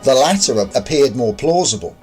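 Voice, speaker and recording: A man's voice: medium pitch, lively intonation, fairly quick, a little mellow, soft background music. A man who is an author narrating his own story in a scripted audiobook style.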